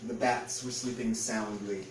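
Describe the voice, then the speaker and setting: A man's voice: fairly low pitch, steady tone, a little slow. A man reading a picture book aloud to an audience as its author, in storytelling style. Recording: echoey room, distant mic, faint noise.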